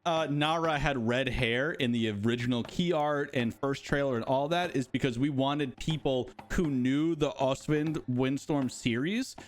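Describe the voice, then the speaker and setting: A man's voice: fairly high pitch, slight background noise. A man hosting a casual live stream for a game studio, chatting and answering viewers' questions. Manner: chatty, rambling, talks to chat.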